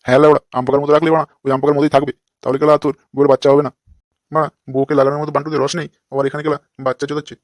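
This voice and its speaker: A man doing a rapid-fire crude cartoon holy man. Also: a little high, level tone, dry recording, studio quality, lightly acted. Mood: scolding. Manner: brash, crude, scolding. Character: crude, lecherous, foul-mouthed holy man, a fake sadhu. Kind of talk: comic cartoon dialogue.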